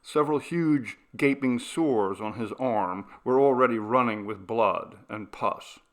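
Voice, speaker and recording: A man reading a horror story aloud as narrator, in a deliberate, dramatic audiobook style. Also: medium pitch.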